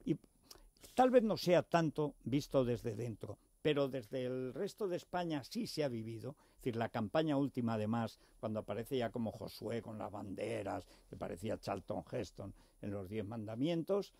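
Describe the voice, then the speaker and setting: A man's voice: medium pitch, animated, normal speed, creaky, mellow, no room sound. A man with an accent from Spain, talking politics on a radio show in an emphatic, sarcastic, digressive way. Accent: Spanish from Spain. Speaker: radio talk show host. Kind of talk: impassioned political discussion.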